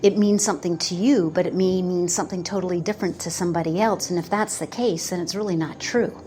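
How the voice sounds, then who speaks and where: A woman in her fifties giving a slow, earnest motivational talk about manifesting, pausing often between phrases. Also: fairly low pitch, steady tone, dark tone, faint music behind. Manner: slow, pausing, earnest, quotes doubters in put-on voices. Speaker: manifestation coach.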